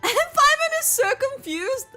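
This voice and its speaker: A woman's voice: very high-pitched, very animated, slow pace, sharp tone. A woman doing casual gaming commentary as she reacts to a video game. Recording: game music behind. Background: video game music.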